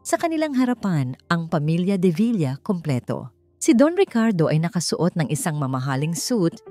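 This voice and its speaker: A Filipino woman narrates a dramatic story with measured suspense. Her voice sits a little low for a woman. Soft music plays behind her scripted delivery. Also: sing-song, no echo. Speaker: audiobook narrator. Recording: voice over background music.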